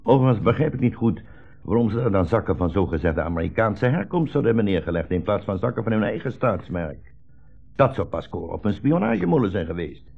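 An actor playing a mild, patient old blind rabbi in a 1960s radio play, speaking politely and with measured precision. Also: male voice, on the low side, sing-song, gravelly, breathy edge, soft background music, exaggerated voice. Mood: calmly insistent. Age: older. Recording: muffled old radio recording, narrow band.

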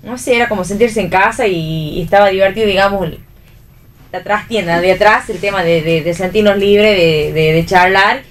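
A woman making casual, halting, informal remarks as a radio guest, recorded at a distance from the mic. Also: medium pitch. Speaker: actor guest. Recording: distant mic, faint background noise.

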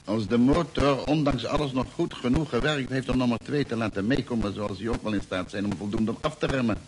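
An actor playing a blunt, pragmatic spaceship engineer in a Dutch radio play, speaking in a matter-of-fact way. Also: male voice, mid-range voice, brisk, close to an everyday voice. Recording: noisy old radio drama recording.

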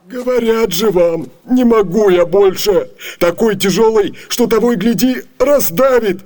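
Whining, high-pitched feeble porter. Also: male voice, measured pace, darkish tone. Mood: strained, whining. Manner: whining, insistent. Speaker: actor in a radio play. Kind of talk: theatrical Soviet radio comedy acting.

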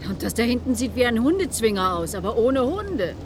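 Animated, alert adventurous woman. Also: on the high side, brisk, background noise. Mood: alarmed. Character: adventurous, alert female assistant turned adventurer. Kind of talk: German radio play acting.

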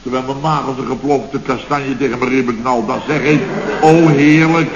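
An actor playing a blustering, aggrieved middle-aged boss of a building firm in a sarcastic, grumbling radio comedy style. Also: male voice, mid-range voice, fairly even intonation, normal speed, close to an everyday voice. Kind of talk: Dutch radio comedy acting, circa 1970. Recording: dull, noisy, roomy old radio recording.

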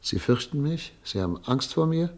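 A man playing a weary older exiled doctor in a radio play, speaking with quiet, deep resolve. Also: very animated, normal speed. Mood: pained. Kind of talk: 1950s radio play acting.

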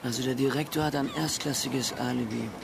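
An actor playing a dogged, brash police sergeant in a radio crime drama, with a blunt, pushy, streetwise manner. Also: male voice, mid-range voice, steady tone, a little fast, vocal fry, background noise. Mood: dogged, businesslike. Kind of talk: naturalistic radio play acting.

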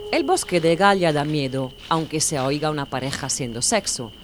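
A woman narrating an audiobook in a calm, measured manner. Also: low-pitched, a little hiss or hum. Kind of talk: audiobook reading.

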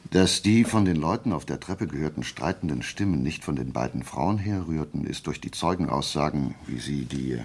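An actor playing a brilliant, self-assured detective in a German radio play, deliberate and pedantic, musing with emphatic pauses. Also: male voice, rumbling low, animated, a little hiss or hum. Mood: methodical reasoning.

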